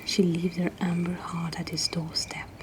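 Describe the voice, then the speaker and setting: A woman reading poetry in a hushed, breathy whisper, with measured and deliberate delivery. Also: on the low side, monotone, background noise. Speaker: poetry reader and host.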